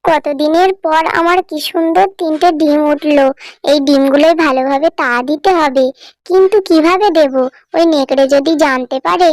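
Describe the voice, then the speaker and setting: Woman as a tiny high-pitched coaxing mother sparrow. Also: darkish tone, no room sound, close to an everyday voice. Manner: coaxing, persuasive. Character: clever pleading little mother sparrow. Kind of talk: cartoon voice acting.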